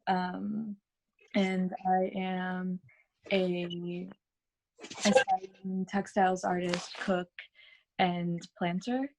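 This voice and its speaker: A woman's voice: fairly low pitch, little pitch movement, very slow, gravelly, pauses often, background noise. A woman textile artist and dancer chatting on a video call, enthusiastic and full of fillers. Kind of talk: casual video call chat.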